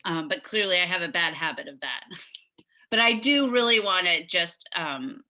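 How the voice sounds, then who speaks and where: A talkative woman leads a community committee meeting over a video call, full of restarts and enthusiasm. Her voice is on the lower side but bright and forceful, heard through a computer mic. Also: lively intonation, normal speed, poor audio. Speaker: meeting chair of neighborhood committee.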